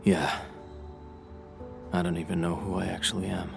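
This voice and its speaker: Very low, self-doubting leader. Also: male voice, flat delivery, moderate pace, gravelly, loud music behind the voice. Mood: anxious, downcast. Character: low-voiced, self-doubting amnesiac leader, subdued and anxious. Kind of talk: video game voice acting.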